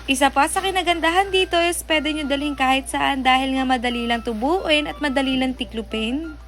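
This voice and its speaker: A woman's voice: sits high, a little fast, a bit bright. A woman with a Filipino accent giving a casual, chatty voice-over as a vlogger reviewing products, with exclamations sprinkled in. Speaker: vlogger showing parcels she received. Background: music.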